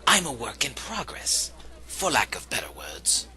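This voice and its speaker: A man's voice: medium pitch, wildly swooping pitch, unhurried, noisy background. A man playing an earnest, polite teammate in an audio drama, with careful, slightly formal phrasing. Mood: earnest, friendly. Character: earnest, polite male teammate, reformed from stiffness.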